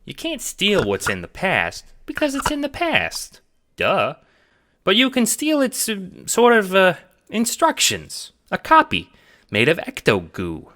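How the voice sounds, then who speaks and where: A giddy little cat spirit for an audio drama, pitched way up high. The delivery is playful and swoops around excitedly, like an eager childlike sidekick. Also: male voice, unhurried, exaggerated voice. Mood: giddy, teasing. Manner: playful, excitable, hesitant explaining.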